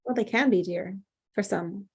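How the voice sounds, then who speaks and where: A woman playing a composed, probing psychiatrist in a screenplay table read, speaking in a deliberate, clinical way. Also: mid-range voice, husky. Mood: calm, authoritative. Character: composed, probing female psychiatrist, coolly authoritative.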